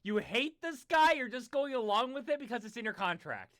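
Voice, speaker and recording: A man's voice: very high voice, very animated, a bit bright. A man hosting a casual group reading, joking and directing the other readers. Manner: directs the others, quick jokes. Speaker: host running a group reading. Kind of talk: casual riffing chat.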